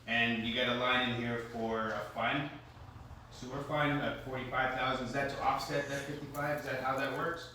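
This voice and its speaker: A member stands to ask about the budget, his voice flat and hesitant. It has a bright, tense edge and reaches the mic from far off in a hall with heavy echo. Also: mid-range voice, moderate pace, slight background noise. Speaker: member asking a question. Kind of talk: question from the floor.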